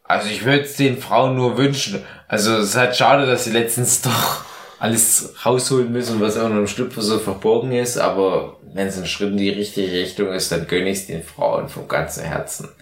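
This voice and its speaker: Male podcast host, low-pitched, tipsy and rambling, ironic comedic chat, echoey room sound. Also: fairly even intonation, moderate pace. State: drunk.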